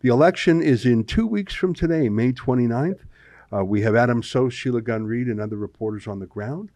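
A man's voice: mid-range voice, a little fast, a little mellow, no room sound. Emphatic Canadian man hosting political talk, dry sound. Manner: emphatic, colourful, dramatic phrasing. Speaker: host of a political commentary show. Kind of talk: opinionated political talk with a guest.

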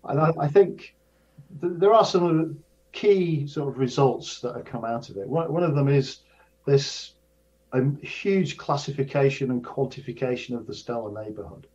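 An older man, a professor of astrophysics, explaining research in a measured way, often restarting and repeating his words. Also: medium pitch, lively intonation, a little mellow. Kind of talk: casual explanatory interview. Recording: dull lo-fi sound.

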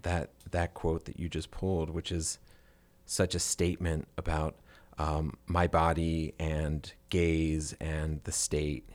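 A man co-hosting a podcast in casual literary discussion, speaking haltingly as he thinks aloud. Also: a bass voice, flat delivery, darkish tone. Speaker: podcast host.

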